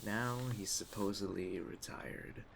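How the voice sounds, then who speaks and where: Guy doing a mafia boss asmr thing, really deep crackly voice, polite but menacing, takes his time, bit of storm noise behind. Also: steady tone, fairly bright tone, full character voice. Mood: calm, quietly menacing. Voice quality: gravelly, low. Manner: measured, deliberate, smooth-talking, faintly menacing. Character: mafia Don, calm, courteous, quietly menacing crime boss. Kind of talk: ASMR roleplay addressed to the listener. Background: storm ambience.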